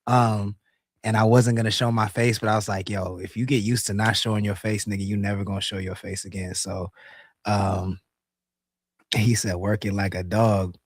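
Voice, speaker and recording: A man hosting a live radio show, raspy from being under the weather, talking in loose slang with many fillers and restarts. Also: lowish voice, level tone, normal speed, no echo. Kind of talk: casual live radio talk.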